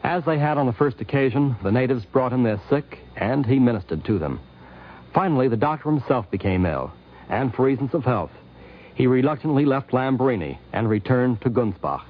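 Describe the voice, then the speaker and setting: A man reading scripted narration for a 1950s radio documentary in a formal, measured manner. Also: mid-range voice, animated. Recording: dull 1950s radio recording.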